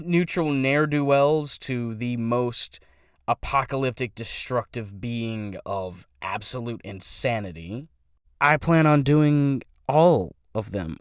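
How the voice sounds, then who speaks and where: A man's voice: medium pitch, smooth, dry recording, clean studio sound. A young man who does voice impressions, talking casually about his channel in a rambling way, stopping to correct himself.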